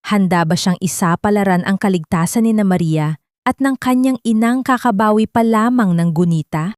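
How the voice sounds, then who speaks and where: A woman's voice: medium pitch, a little mellow, no echo, clean studio sound. A woman narrating a dramatic audio love story as scripted storytelling.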